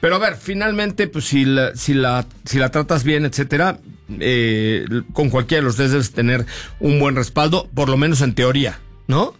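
A man's voice: medium pitch, normal speed, faint music behind. A man with a Mexican accent hosting a car show, chatting informally with slang and jokes as he reviews cars. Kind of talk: casual car review chat.

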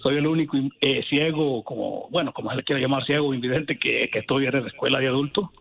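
A man with a Salvadoran accent calling in to a radio show, speaking politely and haltingly about himself. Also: mid-range voice, gravelly, breathy edge. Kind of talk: personal account in a casual radio call. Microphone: phone. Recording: dull band-limited phone line to radio show.

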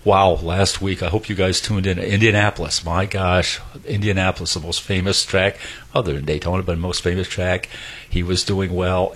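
Older man, radio host; low, husky, folksy and enthusiastic. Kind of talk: casual radio chat. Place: radio studio.

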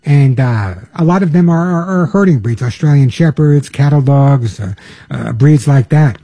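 Older male radio host, mellow dark tone, slightly husky, dry close sound, chatty. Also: mid-range voice, normal speed, dry recording. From New York. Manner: chatty, repeats words, earnest pleading. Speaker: radio pet show host. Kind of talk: casual talk radio monologue.

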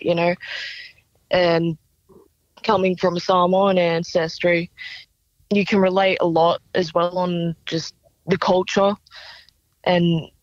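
A woman's voice: on the low side, no room sound, lo-fi sound. A young woman with an Australian accent giving casual, thoughtful, somewhat hesitant answers as an interview guest. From Brisbane, Australia. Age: young adult. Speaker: singer-songwriter, interview guest.

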